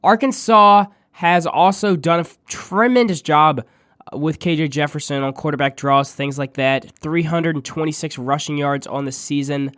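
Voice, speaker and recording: Man, nasal, stuffed-up, fairly high voice, dry close sound, sports podcast host. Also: moderate pace, clear, resonant tone, no echo. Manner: steady, stat-heavy analysis. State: under the weather. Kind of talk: sports preview monologue.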